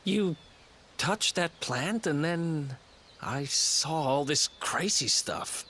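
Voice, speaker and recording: A man's voice: fairly high pitch, expressive, takes it slowly, sharp tone, a little hiss or hum, heavily acted. A man playing a cheeky, streetwise young adventurer in a video game, with a casual, teasing, wry manner. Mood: baffled, wry. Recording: game music behind.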